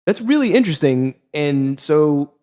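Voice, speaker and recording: A man's voice: on the high side, big pitch swings, measured pace, dark tone. A man hosting an interview, asking long, rambling questions and correcting himself as he goes. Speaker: podcast host.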